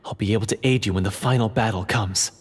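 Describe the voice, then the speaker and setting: A man playing an earnest undercover dungeon guard in a fantasy video game, speaking gravely, formally and urgently. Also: lowish voice, fast talker. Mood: resolute. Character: earnest undercover male dungeon guard, secret monster hunter. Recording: long reverb, faint noise.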